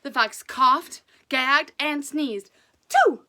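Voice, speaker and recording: A woman reading aloud as a storyteller, casual and chatty, with an enthusiastic, expressive delivery. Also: a little high, moderate pace.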